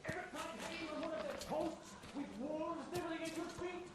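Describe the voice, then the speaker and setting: An actor voicing a resentful, sarcastic young bandit guarding prisoners. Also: male voice, extremely high pitch, fairly even intonation, moderate pace. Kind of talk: video game cutscene voice acting. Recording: distant and echoey over loud noise.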